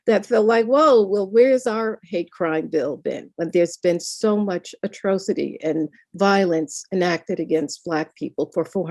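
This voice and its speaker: A woman tells a personal story at a community meeting, earnest and filling pauses with "you know". Her tone is warm and mellow. The sound is dry and close, as on a video call. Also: mid-range voice, dry recording. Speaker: student speaker at a town hall.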